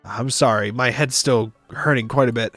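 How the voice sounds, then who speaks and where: A man playing a groggy, devoted male space traveller in a visual novel, gentle, soft-hearted and reflective. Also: mid-range voice, fairly even intonation, moderate pace, faint music behind, lightly acted. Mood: grateful, concerned.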